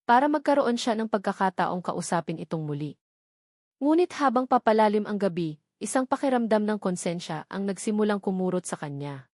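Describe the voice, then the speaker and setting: A woman narrates a scripted romantic drama in a storytelling style. Her voice sits at a medium pitch for a woman. The sound is dry and close, with no room around it. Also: darkish tone, dry recording. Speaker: narrator of audio love stories.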